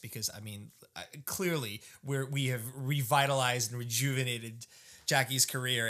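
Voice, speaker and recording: A middle-aged man co-hosting a casual podcast chat, mock-grumpy and teasing, often trailing off quietly. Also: mid-range voice. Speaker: podcast co-host.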